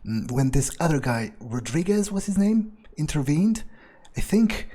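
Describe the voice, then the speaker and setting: A man's voice: a little high, very animated, measured pace, mellow. A man improvising in-character commentary over a video game, thinking aloud in rambling, crude asides. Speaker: gaming video creator playing in character.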